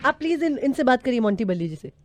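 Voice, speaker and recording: Young woman, high-pitched, flustered, chatty, complaining, English phrases mixed in, dry sound. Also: sing-song, fast talker, no room sound. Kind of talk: agitated complaint on a phone call.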